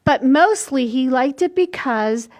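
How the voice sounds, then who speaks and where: High-voiced older woman reading to children. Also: lively intonation, a little mellow. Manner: simple, deliberate explaining to small children. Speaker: library storytime host. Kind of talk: children's storytime read-aloud. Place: small indoor room.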